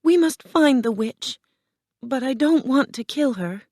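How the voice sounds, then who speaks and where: A woman voicing a gentle young girl, the earnest and plaintive heroine of a storybook. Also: high voice, expressive, measured pace, no echo, clean studio sound, voice pushed up.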